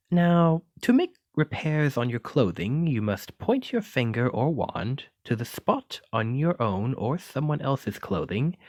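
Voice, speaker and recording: A man's voice: on the high side, a little mellow, no room sound, clean studio sound, full character voice. A man playing a clumsy, kindly wizard teacher in an ASMR roleplay, with theatrical, old-fashioned, encouraging phrasing. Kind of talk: ASMR roleplay addressed to the listener.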